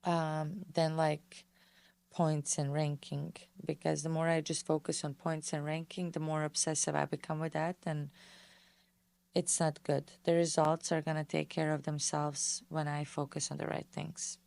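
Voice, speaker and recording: A woman's voice: sits low, little pitch movement, measured pace, gravelly, a little mellow. A woman from Bosnia and Herzegovina, hosting a casual solo question-and-answer podcast in a chatty way, full of fillers. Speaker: podcast host and tennis pro.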